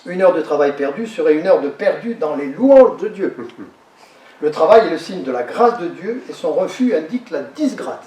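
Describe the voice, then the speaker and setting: A man with a fairly high voice giving a lecture, heard from some distance off the mic. His words bounce around an echoey hall. He talks in a halting way, repeating words and restarting phrases as he explains. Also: lively intonation, mellow.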